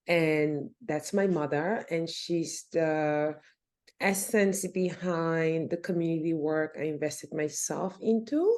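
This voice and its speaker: A woman from Cameroon, a guest on a podcast, telling her life story in a relaxed, reflective way with fillers and restarts. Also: on the low side. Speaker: podcast guest, nonprofit founder. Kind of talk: casual interview conversation.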